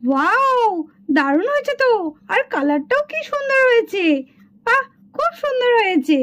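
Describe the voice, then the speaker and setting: Woman as a very high-voiced encouraging teacher doll. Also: sing-song, moderate pace, fairly bright tone, full character voice. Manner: praising, instructing. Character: encouraging female schoolteacher doll praising her pupils. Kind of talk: children's puppet show dialogue.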